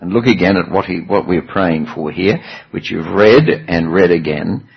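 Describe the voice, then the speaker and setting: A man's voice: low voice, moderate pace, gravelly, husky, dull audio. A man preaching a sermon in a church hall, talking in a chatty way with some self-deprecating humor.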